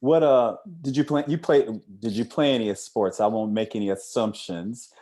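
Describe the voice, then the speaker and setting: A man's voice: mid-range voice, normal speed, mellow. A man hosting a casual interview, talking in a conversational, discursive way. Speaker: interviewer, comic creator.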